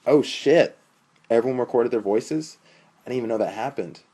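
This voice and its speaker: A man's voice: medium pitch, moderate pace, dark tone, voice pushed up, natural voice. A man voicing a crude, wisecracking young guy at a party, slangy, sarcastic and emphatic, in an audiobook-style story. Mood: excited, curious.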